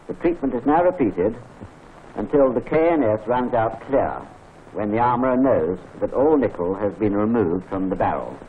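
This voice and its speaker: A man's voice: fairly low pitch, animated. Formal British man narrating a 1940s training film. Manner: measured, formal, instructive. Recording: tinny 1940s film soundtrack with faint hiss.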